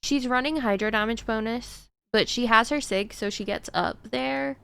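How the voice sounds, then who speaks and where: A woman's voice: a little high, normal speed, creaky, fairly bright tone, smooth. A woman streaming a game, chatting casually to her viewers in a rambling way with frequent fillers. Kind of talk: casual chat while gaming.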